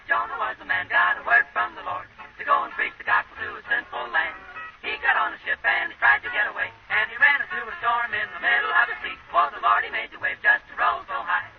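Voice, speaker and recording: High-voiced male gospel quartet singing. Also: fast talker, over music. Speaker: singer in a vocal quartet. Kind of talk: 1930s gospel novelty singing. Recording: tinny, narrow-band 1930s radio recording.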